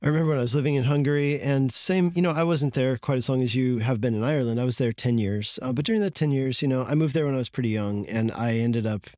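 A man with a mid-range voice recalls his years in ministry in a relaxed storytelling way. He talks casually and thinks aloud. The sound is dry and close. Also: medium pitch, fast, hardly any pauses, no room sound. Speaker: former missionary pastor. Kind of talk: podcast conversation.

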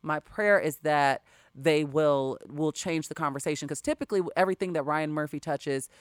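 A woman's voice: deep voice, normal speed, no room sound. A woman hosting a casual podcast chat, speaking emphatically and with sass into a close studio mic. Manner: emphatic and sassy. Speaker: podcast host.

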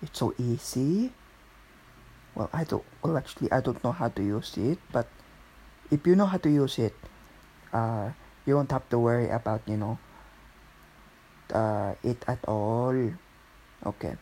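A man hosting his own podcast in a Filipino accent, chatting loosely and gushing, often trailing off to hunt for a word. He talks at an unhurried, drawn-out pace, and his tone is soft and mellow. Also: mid-range voice, breathy edge, low-quality recording. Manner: rambling, gushing, searches for words aloud. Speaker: podcast host. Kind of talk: solo casual podcast chat.

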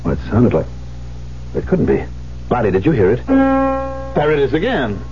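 An actor in a 1970s radio drama playing a bitter, volatile concert pianist, brooding and emphatic in a theatrical way. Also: male voice, medium pitch, sing-song, moderate pace, faint music behind, close to an everyday voice. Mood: startled, baffled. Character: bitter, volatile concert pianist who lost his hand. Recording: muffled, noisy 1970s radio recording.

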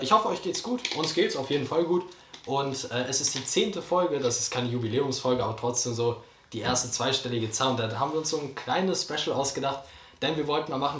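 A teenage boy co-hosting a podcast, talking in a relaxed, easygoing way with youthful slang. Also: medium pitch. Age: teens. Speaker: podcast co-host. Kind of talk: casual chat. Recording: roomy echo.

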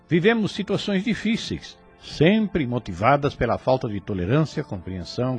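A man's voice: medium pitch, breathy edge. A Brazilian man giving scripted moral commentary on spiritual themes, didactic and solemn.

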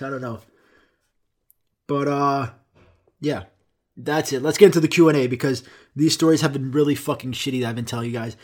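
A young man who grew up speaking Greek, rambling casually and swearing while answering viewer questions. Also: mid-range voice. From United States. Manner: rambling, profane, self-mocking. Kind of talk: casual solo Q and A.

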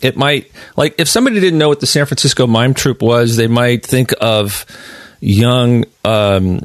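Guy hosting a chat, middle aged, mid-range voice, dry close sound, kinda halting. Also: medium pitch, dry recording. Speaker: interviewer, host. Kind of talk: casual chat.

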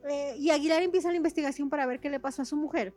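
A woman's voice: high-pitched, very fast, darkish tone, soft background music. A young woman with a Mexican accent, co-hosting a casual book chat, slangy and chatty with laughs between words. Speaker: podcast co-host.

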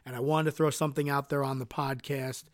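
A man's voice: mid-range voice, little pitch movement, quick pace, dry recording, clean studio sound. A man hosting a solo podcast, earnest and dotted with uhs, sounding nasal from a cold. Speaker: podcast host.

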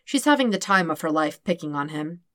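A woman's voice: low-pitched, lively intonation, a little fast, fairly bright tone, dry recording, studio quality. A woman reading scripted fiction as an audiobook narrator.

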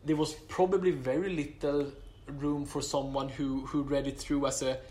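A man speaking English, which is not his first or second language, answering interview questions about his application in a measured way, with many fillers and restarts. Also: mid-range voice, level tone, a little mellow. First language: not English; English is his third language.

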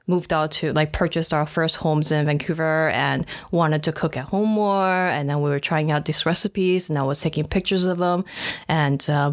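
A woman in her thirties, a guest talking about her own background in a casual interview, relaxed and chatty with easy fillers. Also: low voice. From Taiwan and the United States. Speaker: guest, food photographer.

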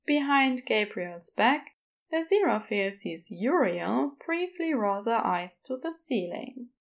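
Unhurried, higher-pitched woman narrator. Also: big pitch swings. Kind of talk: scripted fiction narration.

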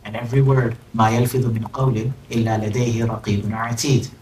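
A man's voice: mid-range voice, little pitch movement, a little mellow. Man teaching in an echoey hall. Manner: conversational, breaks into brisk Arabic recitation. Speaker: religious teacher. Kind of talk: religious lesson, reciting Arabic and translating. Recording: echoey room, distant mic, faint noise.

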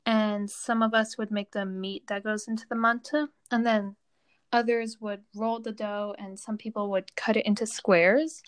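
A woman's voice: fairly high pitch, clear, resonant tone. A teenage girl from Turkey co-hosting a student podcast, chatting casually with lots of fillers.

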